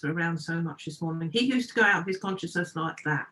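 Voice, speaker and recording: A woman's voice: fairly low pitch, fast, bright, forceful tone. A woman talking as a guest in an interview, chatty and earnest, rambling from one thought to the next. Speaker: guest, trance medium. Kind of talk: casual interview chat.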